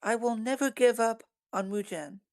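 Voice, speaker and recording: A woman's voice: fairly high pitch, normal speed, dry recording, studio quality, lightly acted. A woman at a screenplay table read playing an earnest young boy who is homesick and determined.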